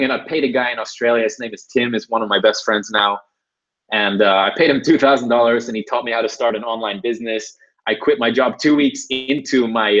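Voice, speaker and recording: Young guy from sweden chatting through a live webinar, easygoing and loose, kinda muffled lo-fi sound. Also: medium pitch. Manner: casual, conversational, lots of fillers like "you know" and "right". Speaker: webinar host. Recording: dull lo-fi webinar audio.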